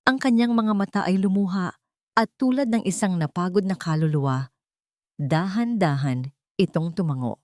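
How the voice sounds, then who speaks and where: A woman's voice: lowish voice, moderate pace, warm tone, no echo, studio quality. A woman with a Filipino accent narrating a scripted story in a dramatic audiobook style.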